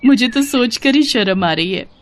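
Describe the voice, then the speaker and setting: A woman voicing a proud young female serpent bride in a cartoon, with an expressive delivery. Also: a little high, normal speed, slight background noise, natural voice. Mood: shy, giddy. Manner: expressive, mocking when refusing.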